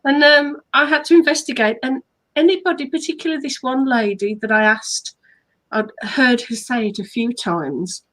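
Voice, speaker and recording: English woman, co-host of a casual livestream chat, higher register, playful storyteller. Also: normal speed, a bit bright, low-quality recording. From England. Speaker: author and hypnotherapist co-host.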